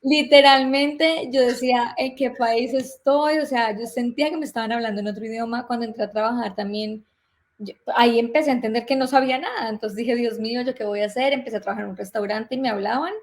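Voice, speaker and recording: A young woman from Colombia with a Colombian accent, a guest in a casual interview, telling stories in a lively way and acting out remembered dialogue. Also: fairly high pitch.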